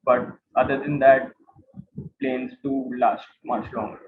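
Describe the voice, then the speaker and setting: A man's voice: mid-range voice, big pitch swings, slow, vocal fry, a little hiss or hum. A man with an Indian accent giving an informal explanatory lecture to students, repeating phrases for emphasis. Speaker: instructor, guide. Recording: roomy, tinny phone-like online class audio.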